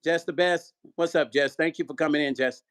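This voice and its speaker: A man's voice: on the high side, fairly even intonation, fairly quick. A middle-aged man hosting a casual livestream, reading viewer comments aloud and urging people to tap the screen, in an upbeat, repetitive way. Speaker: livestream host.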